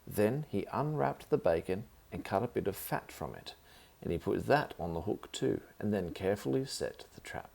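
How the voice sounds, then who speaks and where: A man's voice: lowish voice, lively intonation, moderate pace, vocal fry, a little mellow. A man reading a children's story aloud as the narrator.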